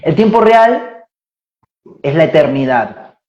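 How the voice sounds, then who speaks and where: A man in his forties, a spiritual teacher, explains ideas slowly and reflectively, thinking aloud with fillers and tag questions. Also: fairly high pitch, expressive, a little mellow. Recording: roomy, dull lo-fi sound.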